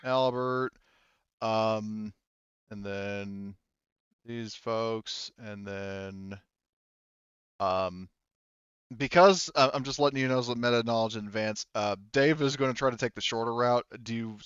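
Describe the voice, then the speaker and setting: A man running a tabletop roleplaying game as game master, talking casually and haltingly as he thinks aloud through the rules. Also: on the low side, steady tone, fairly bright tone, clear, resonant tone, no room sound, dull audio.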